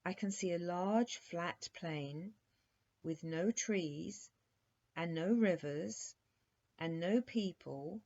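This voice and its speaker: An eager, forthright young princess from a bedtime storybook, as a woman reader puts her on. She is direct and questioning, with a husky softness and a mellow tone. The recording is a little dull. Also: fairly low pitch, slow pace. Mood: earnest.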